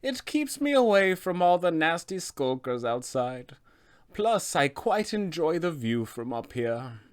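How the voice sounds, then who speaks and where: A man voicing an old, deluded, doting witch mother for a tabletop game, with a sweetly crooning, measured delivery and a softly sinister air. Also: on the high side, big pitch swings, smooth, pitched above the performer's own voice. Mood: coyly evasive.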